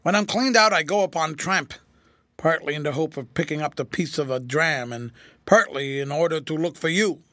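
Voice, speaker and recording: A man voicing a whining, cringing old ex-soldier turned tramp, rough-spoken and slyly malicious, in a storybook reading. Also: a little high, moderate pace, a bit bright, dry recording, close to an everyday voice. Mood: gruff, sardonic. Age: older. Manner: wheedling, rough colloquial speech.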